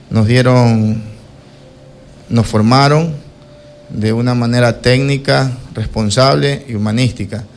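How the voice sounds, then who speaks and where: A man with a coastal Ecuadorian accent answering interview questions about himself in a formal, deliberate, hesitant way. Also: medium pitch. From Quevedo, Ecuador. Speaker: interview guest, accountant.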